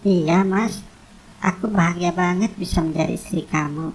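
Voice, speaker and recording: A woman playing a devoted, soft-hearted young woman in love in a cartoon drama, speaking in an earnest, pleading way. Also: fairly low pitch, steady tone, normal speed, slight background noise, close to an everyday voice. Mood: tender, pleading.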